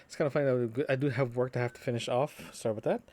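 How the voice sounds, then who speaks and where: A man recording a casual, unscripted audio diary as a podcaster, talking in a rambling, halting way with trailing asides. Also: medium pitch, fairly even intonation, darkish tone.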